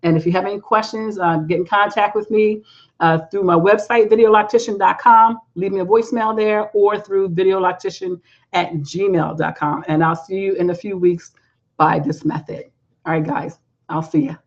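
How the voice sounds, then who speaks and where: Tired hoarse-voiced woman hosting a call-in show. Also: medium pitch, fairly even intonation, moderate pace, dull audio. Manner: warm folksy chatter, calls listeners y'all. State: tired, losing her voice. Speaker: host. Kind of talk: live call-in broadcast chat.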